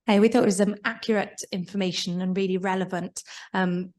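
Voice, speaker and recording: A woman's voice: medium pitch, fairly even intonation, fairly quick, darkish tone. A woman taking part in a workshop, sharing her group's feedback with hesitant ums. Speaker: workshop participant.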